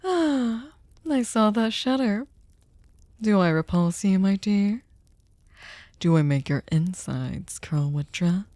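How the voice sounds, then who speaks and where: A woman voicing a sadistic, possessive vampire hostess in an ASMR roleplay, silky, courtly and teasing, often slipping into breathy whispers. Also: medium pitch, animated, slow pace, pauses often, mellow, no room sound. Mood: teasing, menacing. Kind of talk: scripted ASMR roleplay, intimate dramatic monologue.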